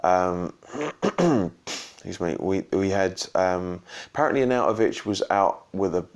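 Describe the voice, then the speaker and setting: Man, deep low voice, slightly croaky, casual rambling vlog talk, lots of restarts. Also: expressive, unhurried. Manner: rambling, full of ums and restarts. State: slightly croaky from shouting and drinks. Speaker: football fan vlogger. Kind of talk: casual post-match reaction vlog.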